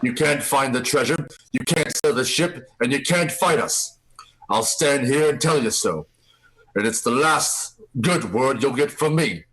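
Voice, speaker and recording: A man playing a gruff, defiant ship's captain in a radio play, declaiming deliberately in old seafaring talk. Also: medium pitch, lively intonation, a bit bright, poor audio. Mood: stern, defiant.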